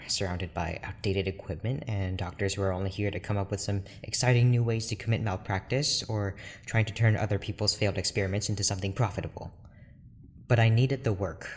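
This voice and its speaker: A man's voice: lowish voice, slight background noise, close to an everyday voice. An actor playing a weary, formal research doctor in an audio drama, choosing his words carefully and apologetically. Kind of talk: naturalistic audio drama acting.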